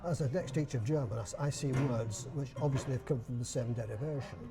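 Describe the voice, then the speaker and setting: A man's voice: mid-range voice, fast. An older man from Bradford with a Yorkshire accent, chatting and explaining in an interview. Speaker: dialect society chairman, guest. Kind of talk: casual interview.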